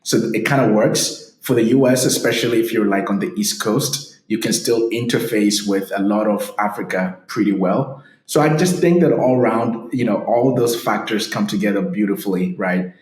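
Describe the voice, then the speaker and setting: A man's voice: lowish voice, moderate pace, few pauses, a little mellow, roomy sound, poor audio. A man from Ghana, a startup founder, giving upbeat explanatory interview answers and often checking in with "right?".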